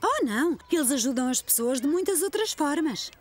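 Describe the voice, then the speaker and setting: A woman's voice: sits high, expressive, moderate pace, darkish tone. A woman with a European Portuguese accent playing a kind, patient preschool teacher in a children's cartoon dub. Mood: patient explaining.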